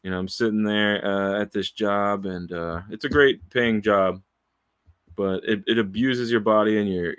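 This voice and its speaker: A man in his late thirties rambling candidly into his home microphone, profane and wandering, with restarts and trailing pauses. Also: low-pitched, no room sound, clean studio sound. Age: 30s. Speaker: aspiring voiceover artist. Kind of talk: casual rambling monologue. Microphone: studio microphone, close and dry.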